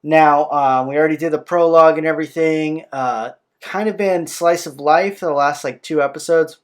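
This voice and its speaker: A man's voice: a little high, moderate pace, clear, resonant tone. A man hosting a game playthrough, informally commenting and reading the story text aloud in a plain way. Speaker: playthrough host. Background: game soundtrack music.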